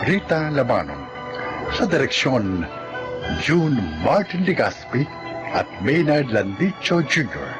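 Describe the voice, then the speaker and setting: A man's voice: mid-range voice, very animated, over music. Formal male radio announcer. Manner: measured, formal. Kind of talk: scripted broadcast narration and credits.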